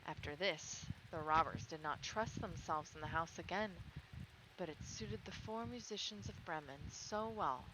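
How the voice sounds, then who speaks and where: Woman storyteller over clear background noise. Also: fairly low pitch, moderate pace. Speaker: storyteller, stream host. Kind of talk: storybook read-aloud with casual asides. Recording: clearly noisy background with clicks.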